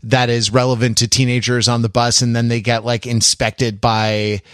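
A man's voice: mid-range voice, flat delivery, normal speed, fairly bright tone, clean tone, dry recording. Dry-miked wry podcast man. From United States. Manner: wry, hesitant, many fillers. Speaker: podcast co-host. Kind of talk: casual chat.

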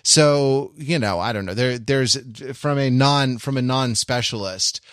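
A man's voice: medium pitch, measured pace, a bit bright, clear, resonant tone, no room sound, studio quality. A man co-hosting a podcast in casual chat, rambling with frequent false starts and comic exaggeration. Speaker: podcast co-host. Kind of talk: casual panel chat about television.

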